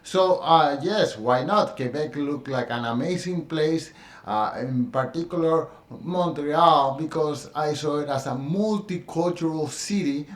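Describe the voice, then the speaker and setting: A middle-aged man with a Spanish accent speaks in an earnest, reflective monologue, pausing on fillers and restarting his sentences. His voice sits a little high for a man. The room around him is echoey. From Colombia. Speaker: dance teacher sharing his experience. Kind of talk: personal monologue.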